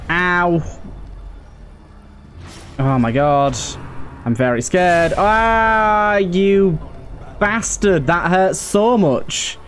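Higher-voiced man doing casual game commentary. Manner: informal, mild swearing, thinks aloud. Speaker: gaming video host. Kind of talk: casual let's play commentary.

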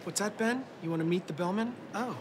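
A man's voice: sits high, brisk, very noisy, lightly acted. An actor in his thirties playing a cocky, joking male secret agent. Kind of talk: TV drama acting. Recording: music score behind dialogue.

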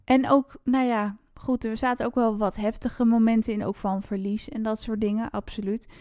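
Higher-pitched woman co-hosting a casual podcast. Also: level tone, moderate pace, darkish tone, dry recording, clean studio sound. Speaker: podcast co-host. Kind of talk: casual book chat.

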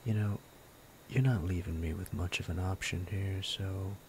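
A man voicing a sadistic male bandit captor in an ASMR roleplay, low and gravelly, often whispering in a menacing, playful way. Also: steady tone, normal speed, a little mellow, a little hiss or hum. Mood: gleefully sadistic. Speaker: voice actor.

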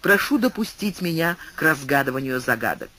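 Honest young hero guy pleading politely, man reading, bit high pitched. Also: big pitch swings, fairly quick, pushed and bright, heavily acted. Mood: earnest. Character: earnest young male hero, humble and honest.

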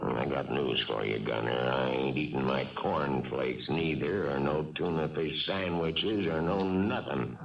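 A blunt, fatherly baseball manager with a very deep, gravelly voice. He wisecracks in slang, narrating and acting in an old radio drama. The sound is muffled, with a crowd behind. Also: a little slow, hardly any pauses, distant mic, full character voice. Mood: exasperated. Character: gruff, fatherly, wry older baseball team manager.

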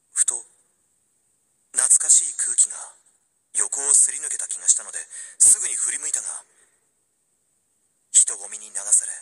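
A man playing a wistful young man pining for an old love, narrating a radio drama in a hushed, introspective way. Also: medium pitch, heavily acted, rough recording. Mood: wistful, reflective.

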